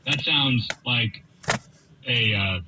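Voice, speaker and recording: A man's voice: mid-range voice, drawn-out words. A man hosting talk radio, giving an opinionated, emphatic monologue and repeating phrases for effect. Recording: radio broadcast with faint background noise.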